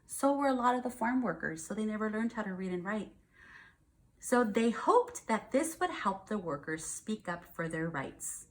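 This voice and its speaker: A woman's voice: mid-range voice, very animated, normal speed. An American woman, a first grade teacher, reads aloud to young children and explains things simply, checking in with questions.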